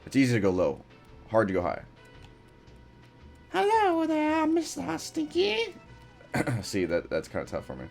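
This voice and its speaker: A man with a deep voice giving laid-back let's play commentary, reading game text aloud. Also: huge pitch swings, measured pace, creaky, long pauses, darkish tone, faint music behind. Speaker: gaming channel host.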